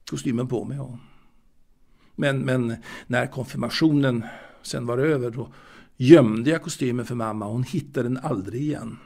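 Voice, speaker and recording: A man's voice: mid-range voice, gravelly, a little mellow. A man playing a proud, naive young confectionery seller reminiscing in an intimate audio drama monologue, hesitant and repeating his words. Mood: proud, defiant.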